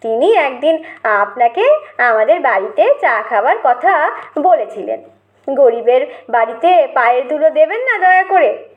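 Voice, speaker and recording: A woman's voice: high voice, sing-song, measured pace, narrow, tinny audio. A woman voicing a humble young schoolboy fan in a comic audio story, obsequious, pleading and gushing. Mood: sheepish pleading.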